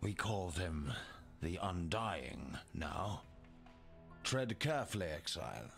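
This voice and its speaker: Casting: troubled scholarly survivor, game dialogue, slow and lowish, highly expressive, faint music. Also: male voice, gravelly, full character voice. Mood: bitter, brooding. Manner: brooding, dramatic, hushed line endings. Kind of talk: video game character dialogue. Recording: music behind the voice.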